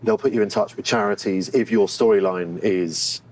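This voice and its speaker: A low-pitched man talks candidly as an interviewed actor. He is thoughtful and halting, searching for the right words as he goes. There is clear background noise around his voice. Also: fairly even intonation, a little mellow, rough recording.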